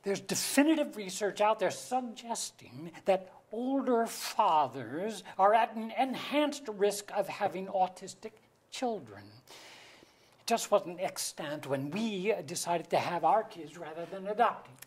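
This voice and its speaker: An actor playing a guilt-ridden, wry middle-aged husband in a staged reading, with a husky voice and a wordy, self-dramatising delivery. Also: male voice, sits high, lively intonation, a little slow, heavily acted. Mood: anguished, defensive. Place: theatre auditorium.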